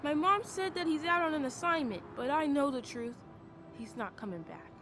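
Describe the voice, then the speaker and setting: A woman's voice: high-pitched, moderate pace, natural voice. A woman voicing a sullen, defiant school-age boy in a video game. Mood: sullen, resigned. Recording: loud street and vehicle noise behind.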